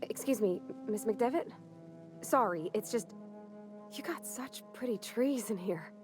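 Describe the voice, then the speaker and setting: A woman's voice: a little high, a little slow, natural voice. An actress playing a shy, polite young townswoman in a video game, speaking hesitantly. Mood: timid, admiring. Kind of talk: video game character dialogue. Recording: music clearly behind the voice.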